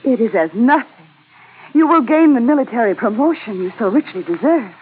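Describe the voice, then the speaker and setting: An actress playing a scheming woman who poses as a melodramatic damsel in distress, theatrical and flattering, in an old radio drama. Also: on the high side, a little fast, lightly acted. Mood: flattering, grateful. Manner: theatrical, pleading, overwrought. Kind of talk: 1940s-50s radio drama acting. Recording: tinny, noisy old radio recording.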